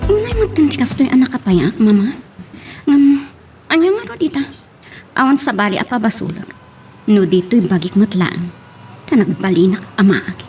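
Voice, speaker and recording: A woman's voice: mid-range voice, expressive, moderate pace, pauses often, dark tone, far from the mic, soft background music, natural voice. A woman with an Ilocano Filipino accent playing a distressed, pleading young wife and daughter in an audio drama. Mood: distraught, tearful. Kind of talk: Ilocano radio-style drama acting.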